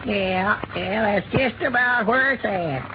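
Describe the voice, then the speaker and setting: A fussy old Arkansas backwoodsman who tires easily, talking in a high, twangy voice. A dull, muffled 1930s radio recording carries him, with heavy hiss behind. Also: slow. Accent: rural Arkansas. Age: older. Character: fretful old rural man, easily tired. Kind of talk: 1930s radio comedy acting.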